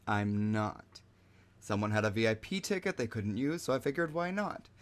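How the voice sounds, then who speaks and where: An actor playing a cocky, easygoing magician who produces shows, in a conversational table read with a brash, teasing, persuasive way of talking. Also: male voice, mid-range voice, bright, forceful tone, exaggerated voice.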